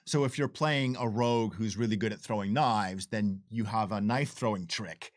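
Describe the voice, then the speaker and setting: A man's voice: lowish voice, normal speed, dry recording, studio quality. A man talking casually as a game developer, explaining things emphatically and repeating phrases to drive points home. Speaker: game developer giving commentary. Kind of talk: casual chat, explaining with enthusiasm.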